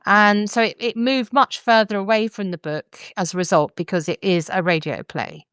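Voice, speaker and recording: A woman's voice: mid-range voice, fairly even intonation, moderate pace, a bit bright, smooth, no room sound, clean studio sound. A woman hosting a podcast, discussing books in an articulate, explanatory way. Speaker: podcast host. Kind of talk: thoughtful podcast book discussion.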